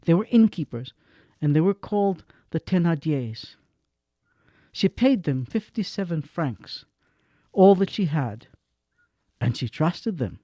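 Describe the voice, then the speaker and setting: A man narrating a radio drama in measured, expressive tones with dramatic pauses. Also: fairly high pitch, dry recording. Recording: dry with faint music behind.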